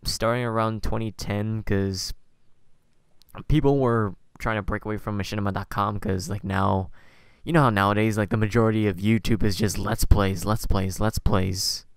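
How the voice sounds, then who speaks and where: A man hosting a podcast in casual conversation, talking in a rambling, halting way and often correcting himself. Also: fairly low pitch, flat delivery, gravelly, clean tone, dry recording. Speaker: podcast host. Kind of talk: casual interview chat.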